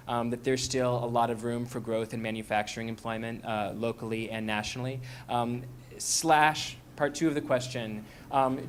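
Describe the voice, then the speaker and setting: A man moderating a live panel discussion, asking questions in a halting way with many fillers and restarts. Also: mid-range voice, slight background noise.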